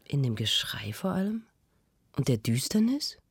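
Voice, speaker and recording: An actress playing a prim, insistent radio host who conducts a formal, probing interview in a radio play. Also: female voice, very deep voice, very animated, normal speed, husky, no echo, clean studio sound, heavily acted. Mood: measured, probing.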